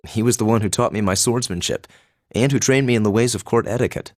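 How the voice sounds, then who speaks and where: A man plays a young duke's son in a fantasy game. The voice is on the low side and recorded very dry. He speaks formally and carefully, with a reserved, cynical edge. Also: fast, a little mellow, no room sound, lightly acted. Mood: guarded, bitter. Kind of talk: English-language game voice acting.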